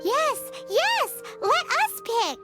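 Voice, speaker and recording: A woman voicing a tiny, chirpy fairy companion in a video game, childlike, cheeky and excitable. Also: very slow, over loud music, full character voice. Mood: excited. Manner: squeaky, childlike, blunt, talks in third person.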